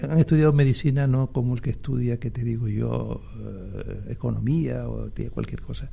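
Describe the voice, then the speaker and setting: A gravelly, husky older man. He is a doctor talking on a radio interview, and he starts over often and pads his sentences with fillers. His voice sits dark and mellow. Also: mid-range voice, fairly even intonation. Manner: halting, many restarts and fillers. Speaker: doctor and health advocacy guest. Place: radio studio.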